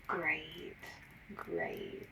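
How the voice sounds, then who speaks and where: A woman playing a shy, nervous phone survey caller in an ASMR roleplay, speaking softly and often near a whisper, hesitant and apologetic. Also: sits low, very animated, drawn-out words, distant mic, a little hiss or hum, exaggerated voice. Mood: apologetic, polite. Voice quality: soft, breathy, often slipping into whisper. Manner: hesitant, apologetic, rambling, gentle.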